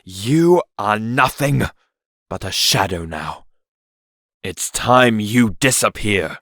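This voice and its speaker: Casting: male actor as bitter, proud dark prince for fantasy audio drama; deliberate, menacing, wide dramatic swings, dry close sound. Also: fairly low pitch, expressive, dry recording, heavily acted. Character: cold, bitter, proud villainous prince of myth.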